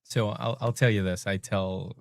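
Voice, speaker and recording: Brief: forty-year-old man, very low flat voice with gravel, earnest interview guest, dry close mic. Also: normal speed, no room sound. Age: 40s. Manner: earnest, repeats words as he builds a point. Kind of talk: casual interview talk.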